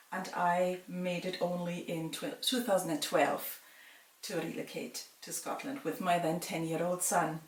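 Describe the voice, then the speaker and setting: A middle-aged woman from Germany calmly answers viewer questions in a casual vlog, pausing with frequent ums. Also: on the low side, distant mic. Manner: calm, measured, with frequent ums. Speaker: vlogger. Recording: roomy sound from away from the mic.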